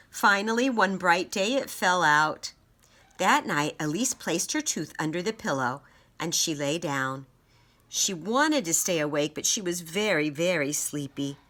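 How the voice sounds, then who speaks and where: A woman storyteller and teacher reading aloud and explaining for children, with deliberate, emphatic phrasing. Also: fairly low pitch, expressive, fairly bright tone. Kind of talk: children's read-aloud and simple lesson.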